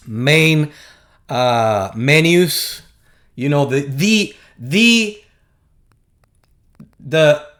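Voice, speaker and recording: A man with a Puerto Rican accent talking casually to camera, halting often with fillers and restarts and dropping in sarcastic asides. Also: a little high. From Puerto Rico. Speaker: video host.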